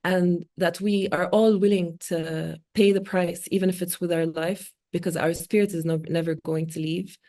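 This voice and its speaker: A woman in her forties, a native Arabic speaker, talking earnestly in an interview with measured pauses and fillers. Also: lowish voice, steady tone, dark tone. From born in Oman, Palestinian. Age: 40s. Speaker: guest speaker, multimedia artist. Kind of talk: reflective interview talk.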